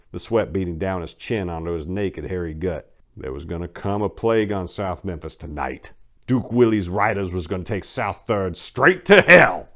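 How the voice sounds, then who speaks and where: A man's voice: deep voice, a bit bright. A man giving a dramatic scripted reading of gritty crime fiction as narrator, with streetwise vernacular. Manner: profane Southern street vernacular.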